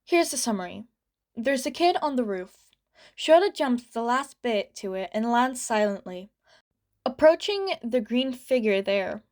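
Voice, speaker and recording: A woman reading fan fiction aloud as a podfic, narrating in a light, steady way. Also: a little high. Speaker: podfic reader.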